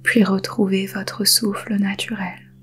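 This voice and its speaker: A woman guiding a yoga nidra meditation in a soft, breathy half-whisper, calm and soothing, with unhurried pauses. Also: mid-range voice, a little hiss or hum. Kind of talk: guided meditation.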